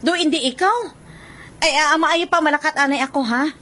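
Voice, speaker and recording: A woman's voice: high-pitched, moderate pace, pushed and bright, slight background noise. A woman acting in a radio drama as a fussing, chatty village mother worried about her son. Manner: chatty, fretful, hesitating.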